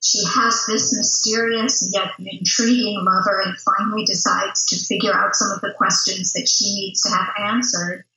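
A woman's voice: mid-range voice, sharp tone. A woman playwright answering as an interview guest in a conversational, reflective way, heard in a very echoey recording. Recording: very echoey, roomy sound.

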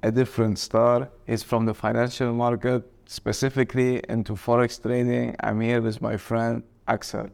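Gravelly man hosting an interview. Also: medium pitch, level tone, moderate pace, few pauses, darkish tone. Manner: friendly, conversational questioning. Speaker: podcast host. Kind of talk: casual interview.

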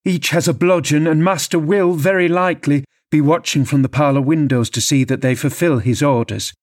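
A man acts out a practical serving woman from a classic novel. The pitch is raised above his own and the delivery is firm and cautioning. The sound is dry. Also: normal speed, dry recording, natural voice. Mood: warning. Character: female household servant, cautioning and practical.

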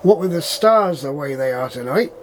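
A man's voice: on the high side, expressive, normal speed, slight background noise, lightly acted. A man playing a suspicious, chatty, old-fashioned farm manager with a rural English accent and folksy dialect in an audio drama. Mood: warning. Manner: folksy dialect, addresses others as young lad.

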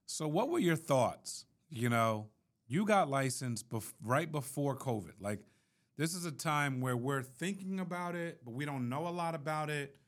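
A man's voice: a little high, lively intonation, moderate pace. A man hosting a podcast interview, asking questions and encouraging his guest with short backchannels. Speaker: podcast host. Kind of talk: casual interview chat.

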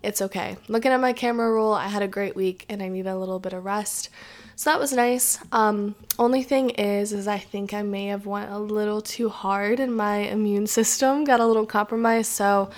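A woman hosting her own podcast in a casual, rambling chat, her voice raspy from a sore throat, with lots of "like" and "um". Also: mid-range voice, steady tone, moderate pace. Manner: chatty, rambling, many "like"s and "um"s. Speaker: podcast host. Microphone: podcast microphone.

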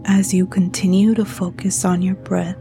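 A woman guiding a meditation as a narrator, speaking in a soothing, gentle and breathy manner. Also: mid-range voice, flat delivery, moderate pace, soft background music. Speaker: meditation guide.

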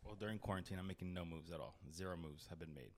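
A man's voice: sits low, very fast. A man in his late twenties, a podcast guest bantering casually in a playful, teasing way. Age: late 20s.

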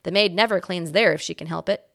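A woman's voice: lowish voice, fast talker, a bit bright, no echo, studio quality. A woman voicing a kindly old man who works as a hotel bellboy, talking in a folksy, easygoing way. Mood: friendly.

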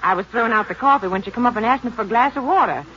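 An actress playing a talkative small-town lunch counter woman in a 1950s radio drama, with folksy, drawn-out musing. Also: fairly high pitch, a bit bright, natural voice. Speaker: lunch counter worker at a bus depot. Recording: dull lo-fi old radio broadcast with faint hiss.

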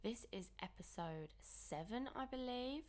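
A woman, hosting a casual solo podcast with a croaky early-morning voice. She talks candidly and chattily, with lots of "like" and "okay". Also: on the high side, lively intonation, a little slow, a little mellow. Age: 20s. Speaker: podcast host and coach.

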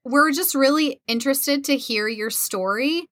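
A woman's voice: high voice, measured pace, a bit bright, no echo. A woman hosting a podcast, reading an upbeat scripted intro and then asking her guest earnest questions. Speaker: podcast host. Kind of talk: podcast interview.

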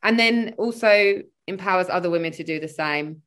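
A woman's voice: fairly low pitch, normal speed, a bit bright. A woman, a spiritual coach chatting as a podcast guest over a video call, rambling and full of fillers. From born in Sweden, grew up in London. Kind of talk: casual conversational interview.

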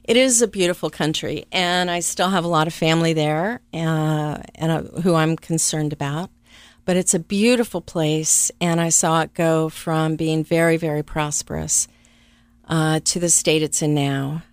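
A middle-aged woman answering interview questions about her life and work, speaking softly and thoughtfully with frequent pauses. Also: sits low, fairly even intonation, smooth, dry recording. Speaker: interview guest, travel business owner. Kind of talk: conversational interview.

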